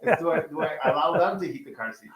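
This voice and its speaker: A man co-hosting a live stream, reading out viewer questions and joking in a relaxed way. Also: on the high side, fast. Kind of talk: live Q&A chat.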